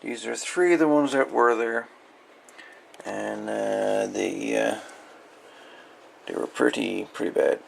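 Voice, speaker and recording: A man giving a casual, unhurried, folksy hands-on repair narration, thinking aloud as he goes. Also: fairly low pitch, creaky, slight background noise. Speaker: hobbyist radio repairer presenting a video.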